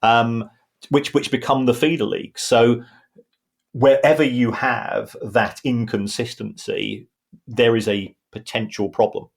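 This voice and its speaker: A man's voice: medium pitch, steady tone, unhurried, vocal fry, husky. A man co-hosting a podcast, explaining football finance in a casual, talky way, often restarting his sentences. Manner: explanatory, many restarts and repeated words. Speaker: football finance pundit, co-host.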